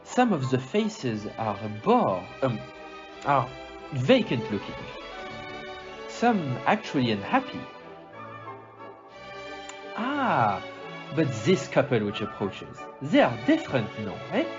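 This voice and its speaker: A man playing a polite, observant gentleman detective in an audio play, with a French accent and a formal, theatrical manner. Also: mid-range voice, wildly swooping pitch, slow, long pauses. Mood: musing. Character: polite, observant foreign gentleman detective with little grey cells. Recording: muffled audio, loud music behind.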